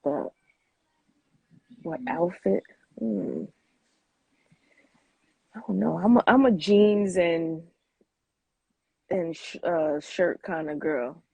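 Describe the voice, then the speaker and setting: A woman's voice: on the low side, very slow, creaky, pauses often, a little mellow, slightly rough, no echo, lo-fi sound. A woman in her forties chatting informally as an interview guest, rambling a little as she thinks aloud. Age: 40s. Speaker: interview guest, sneaker collector. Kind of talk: casual interview chat.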